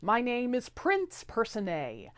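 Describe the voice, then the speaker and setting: A woman's voice: on the high side, huge pitch swings, slow pace, exaggerated voice. A woman voicing a gallant young fairy prince, earnest and courtly, in a storybook tale.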